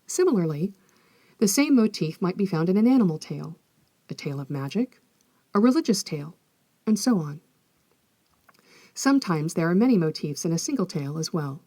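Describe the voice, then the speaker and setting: A woman's voice: fairly low pitch, very animated, mellow, no echo. Woman narrating in a very dry recording. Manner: measured, articulate, explanatory. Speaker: podcast host, folklorist. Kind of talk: scripted educational narration.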